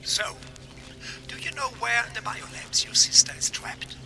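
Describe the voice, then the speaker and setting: A man's voice: extremely high pitch, fairly even intonation, normal speed, creaky, music in the background. An actor playing an eccentric, knowing man of science in a video game, theatrical and wry as he explains and guides. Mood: helpful, encouraging.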